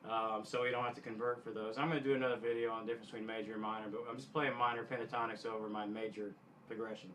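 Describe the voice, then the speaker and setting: A man giving casual guitar instruction in a halting way, often backtracking and clearing his throat. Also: lowish voice, flat delivery, a bit bright, slight background noise, low-quality recording. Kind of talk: casual instructional talk to camera.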